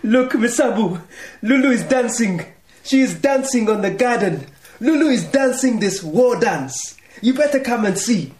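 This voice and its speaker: An actor playing a gentle, respectful young Kenyan servant boy in a radio drama. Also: very high-pitched, animated, unhurried, slight background noise. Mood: delighted.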